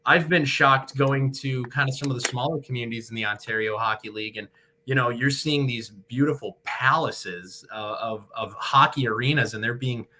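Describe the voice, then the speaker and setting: A man's voice: mid-range voice, moderate pace, sharp tone. A young man, a sports broadcaster, giving articulate, wordy answers as an interview guest. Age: young adult. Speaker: guest, radio hockey play-by-play broadcaster.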